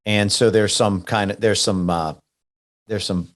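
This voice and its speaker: A man's voice: lowish voice, steady tone, measured pace. A man hosting a podcast in a casual, conversational way, rambling and feeling his way through his points. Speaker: podcast host.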